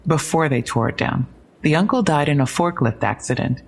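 Female police detective, audio drama: gravelly edge, low-key factual briefing, dry humor. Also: lively intonation, normal speed, a little hiss or hum. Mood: matter-of-fact, wry. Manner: conversational briefing, wry asides. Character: matter-of-fact young female police detective, dryly wry.

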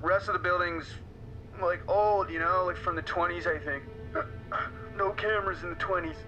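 Muffled high-voiced slacker guy, played by an actor. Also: steady tone, music in the background, close to an everyday voice. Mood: casual, interested. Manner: casual, chuckling, drawn-out. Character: crass, mocking, slacker young male coworker. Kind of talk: naturalistic audio drama acting. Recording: muffled, noisy lo-fi sound.

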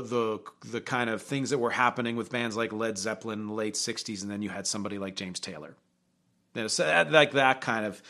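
A man's voice: medium pitch, a bit bright. A man hosting a music show, talking through songs in a reflective, conversational way and putting questions to his viewers. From not from Glasgow. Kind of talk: casual music commentary to camera.

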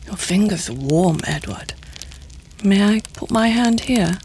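An actress playing a sly, seductive middle-aged intelligence handler, teasing and insinuating in formal, deliberate diction. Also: female voice, mid-range voice, lively intonation, a little mellow, slight background noise. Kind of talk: audio drama acting.

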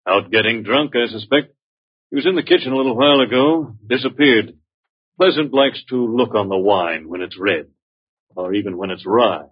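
An old, dull-toned radio drama recording. a supercilious gentleman with a fairly low, slightly rough voice. He is curt, suspicious and dryly sardonic. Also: expressive, normal speed. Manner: clipped, sardonic, haughty. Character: suspicious, dry, supercilious male relative of a rich man. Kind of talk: 1940s-50s American radio drama acting.

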